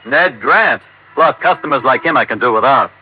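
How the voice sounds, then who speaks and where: A man's voice: mid-range voice, expressive, moderate pace, faint music behind, natural voice. A man playing a grumbling, fed-up travel agent in a 1950s radio drama. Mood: exasperated. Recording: dull, noisy old radio recording.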